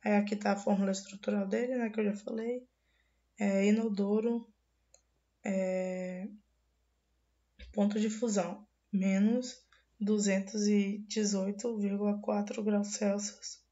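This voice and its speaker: A young woman, a university student, giving a class presentation while hoarse from a cold, reading from slides and often restarting words. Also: medium pitch, level tone, unhurried, dark tone, muffled recording. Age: young adult.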